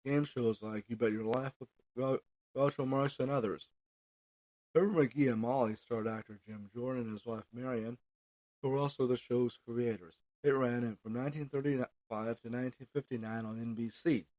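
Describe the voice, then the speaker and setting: American man, dark-toned, halting podcast delivery. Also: mid-range voice, steady tone, dry recording, rough recording. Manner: halting, stumbling over names and phrases. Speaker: podcast host. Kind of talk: informal solo podcast, reading from notes.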